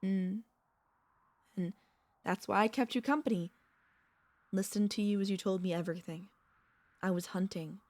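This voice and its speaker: A woman voicing a centuries-old vampire mistress, a tender, possessive girlfriend, speaking softly and intimately with courtly wording and hesitant pauses in an ASMR roleplay. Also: medium pitch, no room sound, close to an everyday voice. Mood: wistful, tender. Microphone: close studio microphone, dry.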